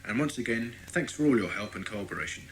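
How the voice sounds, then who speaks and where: An actor playing a friendly, obliging British police inspector in a children's audio drama, courteous and explanatory. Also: male voice, medium pitch, lively intonation, fast, close to an everyday voice, rough recording. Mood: reassuring.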